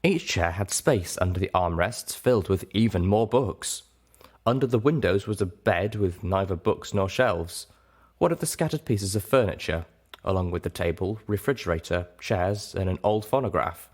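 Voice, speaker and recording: A man's voice: deep voice, lively intonation, normal speed. A man reading scripted first-person fiction aloud as an audiobook narrator.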